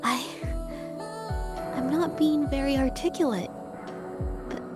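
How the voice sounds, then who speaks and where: A woman's voice: sits high, slow, music in the background. An actress voicing a shy, earnest young woman painter in an anime-style game, polite and hesitant with a nervous stammer.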